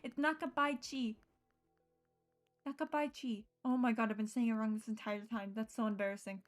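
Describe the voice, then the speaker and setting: A woman streamer reading visual novel narration aloud in an expressive way, with casual, sweary reactions. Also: on the high side, normal speed. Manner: expressive, self-interrupting, swears freely. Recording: game music behind the voice. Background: game music.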